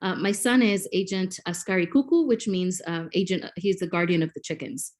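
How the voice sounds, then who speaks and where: A woman's voice: on the low side, brisk, a little mellow. A woman in her forties from Kenya, a native Swahili speaker, talking as a podcast guest and explaining things with many ums like a classroom teacher. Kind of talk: podcast interview.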